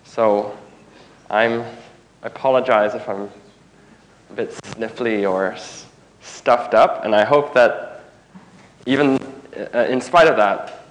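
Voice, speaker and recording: A man's voice: mid-range voice, takes it slowly, pauses often, rough recording. A man giving a technical conference talk in a room, stuffed up from a cold, informal and often restarting phrases. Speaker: conference speaker.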